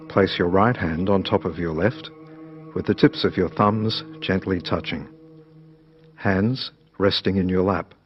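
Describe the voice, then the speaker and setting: Man, deep voice, meditation instructor, measured scripted narration, slightly muffled sound, music underneath.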